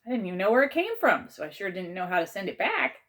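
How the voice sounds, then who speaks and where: A woman reading a children's story aloud as an expressive, dramatizing narrator. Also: medium pitch, quick pace. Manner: animated, dramatizes a child's first-person tale. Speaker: author and teacher reading aloud.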